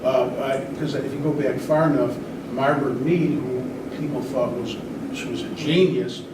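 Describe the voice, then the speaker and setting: Male panel speaker, explanatory and halting, slightly high voice; roomy, noisy recording. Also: fairly even intonation. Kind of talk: informal panel discussion. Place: large room at a live event. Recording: echoey room, distant mic, noisy background.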